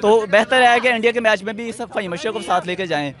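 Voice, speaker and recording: A young man talks eagerly at a night street interview, pointing out his handmade poster. His voice is fairly high. A crowd chatters clearly behind him. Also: fast. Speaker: fan and graphic designer. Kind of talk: street opinion. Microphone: handheld mic held by interviewer.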